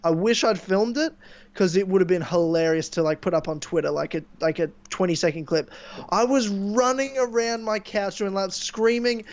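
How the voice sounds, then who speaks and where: A young man hosting a casual podcast, chatting and rambling excitedly with a sore throat from screaming. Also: high voice, moderate pace. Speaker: podcast host. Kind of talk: casual chat. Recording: dull lo-fi mic.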